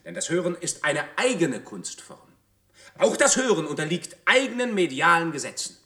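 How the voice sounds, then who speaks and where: A bombastic prophet-like orator. His fairly high voice swoops widely through declamatory, repetitive phrases of theatrical radio oratory, ringing with echo. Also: sing-song, normal speed, bright, forceful tone, exaggerated voice. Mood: solemn, grand. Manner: declamatory, rhetorical repetition, pompous. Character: bombastic male artist-prophet rehearsing a rousing public speech. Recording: echoey, reverberant voice.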